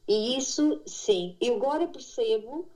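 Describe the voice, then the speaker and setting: A woman's voice: on the high side, lively intonation, a little slow, mellow, low-quality recording. A middle-aged woman from Madeira with a Portuguese accent, chatting as an interview guest and correcting herself as she tells her stories. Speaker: interview guest, expatriate aviation instructor. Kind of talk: casual conversational interview answers.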